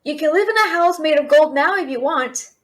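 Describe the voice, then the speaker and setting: A woman playing a brash, fast-talking young female thief and failed alchemist in a fantasy audio roleplay, chattering with sarcastic, exasperated bluster. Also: high-pitched, animated. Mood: grumbling, wry. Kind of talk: fantasy audio roleplay, addressing an unseen listener.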